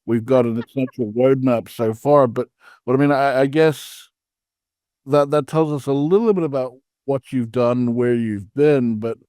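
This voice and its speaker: Halting, mellow-voiced male podcast host. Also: mid-range voice, steady tone, no room sound, studio quality. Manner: halting, many repeated words and restarts. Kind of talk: podcast interview.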